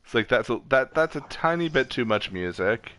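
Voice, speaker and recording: A man's voice: mid-range voice, lively intonation, moderate pace, sharp tone. A man streaming a game, talking in an informal, playful way with casual commentary. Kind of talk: casual gaming chat and commentary.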